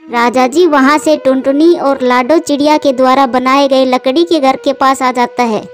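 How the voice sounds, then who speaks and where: A woman narrates a scripted children's story. Her voice is high, and faint music plays beneath it. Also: steady tone, normal speed. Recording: music behind the voice.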